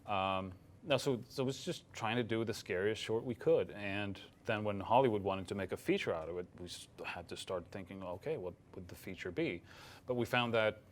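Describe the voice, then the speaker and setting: A man's voice: lowish voice, brisk, slight background noise. A man from Sweden with a light Swedish accent, giving hesitant interview answers full of fillers and restarts. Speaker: film director.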